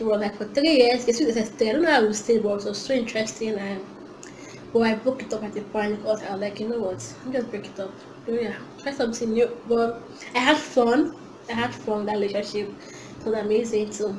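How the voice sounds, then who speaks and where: A woman's voice: a little high, echoey room, a little hiss or hum, poor audio. A Nigerian woman in her twenties chatting casually to camera in a vlog, giggling often and talking with her hands. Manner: chatty, giggly, animated hand gestures. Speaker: vlogger. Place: small indoor room at home.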